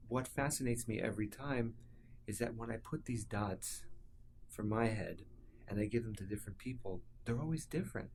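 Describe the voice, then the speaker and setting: A man who is a composer reflecting candidly in an interview, thinking aloud in an animated, halting way. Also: mid-range voice, slight background noise.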